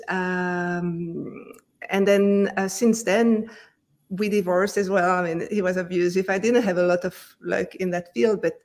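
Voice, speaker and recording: A middle-aged woman from Belgium, a native French speaker with a French-speaking Belgian accent, telling her story as an interview guest, full of hesitations and restarts. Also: lowish voice. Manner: hesitant, many fillers and restarts. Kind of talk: casual conversational interview.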